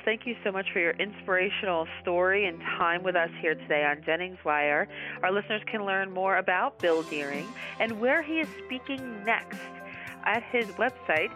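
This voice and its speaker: A woman hosting a podcast, asking a guest long, measured questions. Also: mid-range voice, bright, forceful tone. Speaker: podcast host. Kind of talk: interview hosting.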